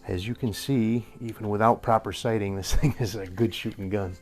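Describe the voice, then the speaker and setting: Older man, slightly hoarse and low. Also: fairly even intonation, normal speed, soft background music. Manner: rambling, chuckles often, self-correcting. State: a little hoarse. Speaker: airgun reviewer. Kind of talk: casual review commentary. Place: indoor workshop with tool wall. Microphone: camera at a few feet.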